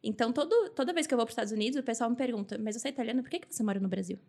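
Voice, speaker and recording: A woman's voice: a little high, racing, mellow. Chatty Brazilian woman in casual conversation. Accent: Brazilian Portuguese. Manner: chatty, informal. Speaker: podcast guest. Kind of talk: casual chat.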